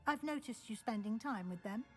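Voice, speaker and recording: An actress playing a brisk, shrewd senior professor at a wizard school in a video game, formal and authoritative. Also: female voice, a little high, animated, faint music behind, close to an everyday voice. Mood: brisk, businesslike. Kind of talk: scripted video game acting.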